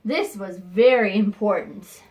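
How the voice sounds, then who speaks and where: A woman teacher reading a picture book aloud to young students, expressive and pausing to think aloud with questions for them. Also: mid-range voice, dull audio. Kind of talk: read-aloud lesson with questions to children.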